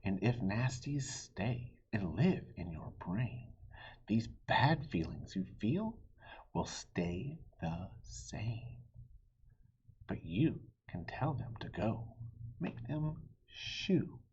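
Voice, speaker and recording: Male children's book reader: very raspy-breathy, slow and deliberate, theatrical with hushed dips. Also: fairly low pitch, sing-song, dull audio. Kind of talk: animated read-aloud storytelling for children.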